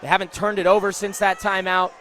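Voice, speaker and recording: A man's voice: high-pitched, level tone, brisk, fairly bright tone, some chatter behind, a little hiss or hum. A man giving live basketball analysis as a radio color commentator at courtside.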